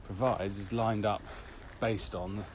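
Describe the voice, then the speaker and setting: A man co-hosting a podcast, explaining things in a measured, slightly formal way. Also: fairly low pitch, fairly even intonation. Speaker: podcast co-host. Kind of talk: explanatory walking podcast chat. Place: walking outdoors across open fields. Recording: outdoor recording with clear ambient noise. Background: outdoor ambience.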